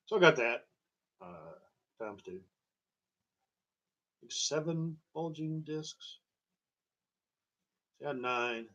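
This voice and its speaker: Male storyteller, middle pitch, digressive and wry with uneven emphasis; muffled, low-fidelity recording. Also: lively intonation, fairly bright tone. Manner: halting, digressive, wry, chuckling at grim details. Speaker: storyteller and host. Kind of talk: rambling personal monologue about own medical history.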